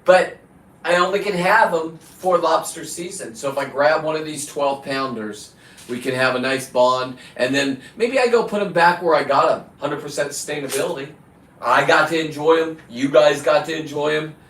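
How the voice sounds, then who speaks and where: A man's voice: on the high side, a bit bright. Man rambling casually in an echoey room. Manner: halting, digressive, talks to an off-screen Bill and the viewers. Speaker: live stream host. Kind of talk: casual, rambling hands-on livestream chat. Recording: echoey room, distant from the mic.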